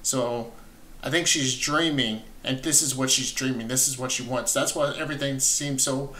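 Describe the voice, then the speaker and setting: A young man with a bright, forceful voice reacts casually as a video host. He speaks with enthusiasm and often circles back to rephrase. There is clear noise behind him in a small home room. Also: mid-range voice, fairly even intonation, moderate pace. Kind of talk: casual reaction commentary on a game trailer.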